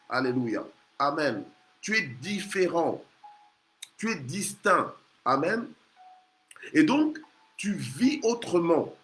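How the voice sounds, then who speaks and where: A man's voice: fairly high pitch, fairly bright tone, low-quality recording. A man preaching an exhorting sermon in an emphatic, repetitive way. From Congo. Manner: emphatic, repeats phrases, punctuates with hallelujah and amen. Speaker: preacher leading a morning prayer. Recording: clear background noise, ambient sound.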